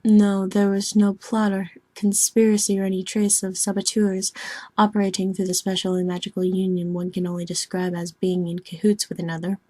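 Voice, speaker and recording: A woman voicing a formal, gently meddling young alien woman in scripted dialogue, speaking in a measured, precise, deadpan way. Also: mid-range voice, breathy edge, lightly acted. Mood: measured, explanatory.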